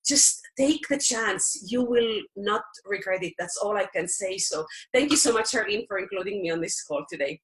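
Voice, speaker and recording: A woman's voice: medium pitch, expressive, moderate pace, hardly any pauses, rough recording. Emotional woman with an Estonian accent. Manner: emotional, heartfelt, encouraging. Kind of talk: personal testimonial on a call.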